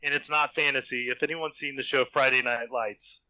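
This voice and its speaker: A man's voice: mid-range voice, steady tone, a little fast, bright, forceful tone, no room sound, dull audio. A man from the United States in casual podcast chat, explaining his picks as a guest. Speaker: podcast guest, fan fiction author.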